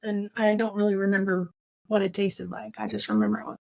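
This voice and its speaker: A woman's voice: mid-range voice, sing-song, fairly quick, a little mellow, no room sound. A woman co-hosting a podcast, chatting casually about books in a conversational style. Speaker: podcast co-host. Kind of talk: casual book discussion chat.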